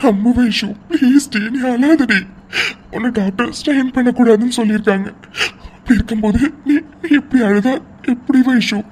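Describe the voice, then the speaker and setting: Woman playing high-pitched, tearful, pleading young husband. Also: a little mellow, slightly rough. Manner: pleading, halting, repeats words, tearful. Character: anxious young husband tenderly reassuring his distraught wife. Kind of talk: emotional audio drama acting. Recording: faint background noise.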